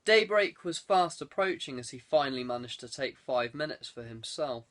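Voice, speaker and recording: A man's voice: mid-range voice, a bit bright. A man reading a thriller aloud as a scripted audiobook narration.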